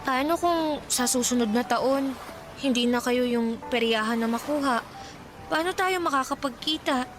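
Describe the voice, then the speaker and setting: A woman's voice: high voice, moderate pace, over music, lightly acted. A woman playing an earnest, practical young schoolgirl in a romantic radio drama, caught in her first puppy love. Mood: shy, hesitant.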